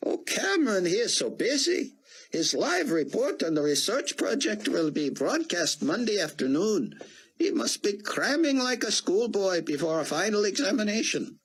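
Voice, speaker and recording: Man doing a kind old scientist guy, gentle and careful, raised pitch, husky. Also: sing-song, normal speed, exaggerated voice, poor audio. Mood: gently refusing. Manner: gentle, protective.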